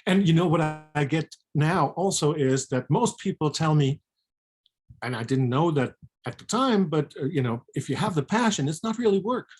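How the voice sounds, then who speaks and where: A middle-aged woman chatting casually as a guest, reflective and somewhat halting in how she puts her thoughts. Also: sits low, animated, darkish tone. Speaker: physician and book co-author, guest. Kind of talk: casual personal chat.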